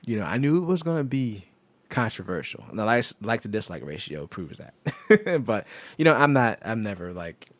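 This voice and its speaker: Man, low voice, casual live stream chat, rambling, dry close sound. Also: very animated, moderate pace, no room sound. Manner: rambling, laughs often, many fillers and restarts. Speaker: tech streamer. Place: home desk setup. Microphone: microphone on an arm through an audio interface.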